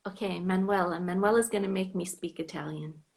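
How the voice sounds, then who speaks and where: An American woman hosting a fan club live stream over a video call, chatting casually, sometimes trailing off into soft whispers. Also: fairly low pitch, fairly even intonation, fairly quick, creaky.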